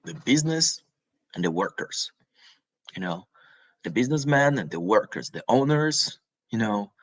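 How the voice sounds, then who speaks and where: A man from Brazil, presenting a spiritual talk in a halting, informal way with frequent restarts and tag questions, coughing now and then. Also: lowish voice, sing-song.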